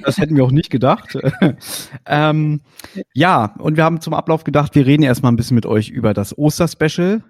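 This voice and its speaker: A man's voice: fairly high pitch, fairly quick, no room sound, studio quality. A man in his late thirties hosting a podcast interview, friendly and hesitant with fillers. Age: 30s. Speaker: podcast host.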